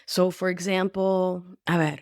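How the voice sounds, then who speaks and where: A woman's voice: on the low side, flat delivery, measured pace, dry recording, clean studio sound. Playful, affectionate woman podcast coach. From Argentina. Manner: playful, affectionate, laughs often. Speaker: life coach and podcast host. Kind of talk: solo podcast teaching.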